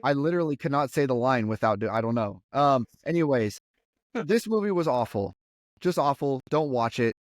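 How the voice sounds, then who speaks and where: A man's voice: medium pitch, expressive, a little fast, dry recording, studio quality. Hesitant man bluntly panning a movie. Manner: hesitant, many "um"s, blunt verdicts. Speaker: podcast co-host. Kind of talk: casual movie review chat.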